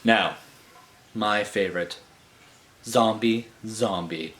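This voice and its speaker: A man giving an expressive, rhythmic and playful theatrical reading of comic verse. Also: lowish voice, slow pace, slight background noise. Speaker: reader of comic poetry on own channel.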